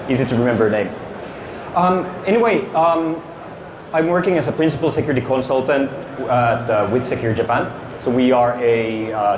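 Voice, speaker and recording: A middle-aged man from Finland gives a casual conference talk on a handheld mic, full of fillers and restarts. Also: medium pitch. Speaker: conference speaker, security consultant. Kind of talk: conference presentation. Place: conference stage in an exhibition hall. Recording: echoey hall with ambient noise.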